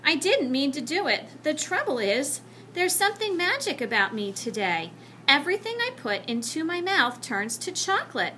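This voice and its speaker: A woman's voice: high voice, sing-song, normal speed, pushed and bright, a little hiss or hum, voice pushed up, full character voice. A woman voicing an earnest, aggrieved schoolboy in a children's storybook, with a pleading, protesting manner. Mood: pleading, reproachful. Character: earnest, aggrieved schoolboy who turns things to chocolate.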